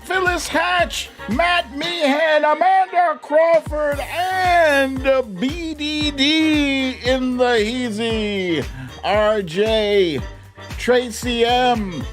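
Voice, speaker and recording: A man hosting a live streamed show, chatting to viewers in a playful, theatrical way with drawn-out exclamations. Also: extremely high pitch, a bit bright, faint music behind. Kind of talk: live chat-show talk, greeting viewers by name.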